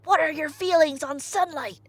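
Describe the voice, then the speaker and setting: A woman's voice: high-pitched, moderate pace, no echo, pitched above the performer's own voice, close to an everyday voice. A woman voicing a brash young male god as a chummy, conspiratorial persuader in a myth retelling. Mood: sly, eager. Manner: conspiratorial, slangy salesman patter.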